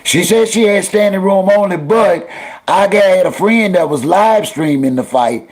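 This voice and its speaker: A man's voice: sits high, moderate pace. A man in his fifties hosting a call-in talk show, talking in an opinionated, emphatic way. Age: 50s.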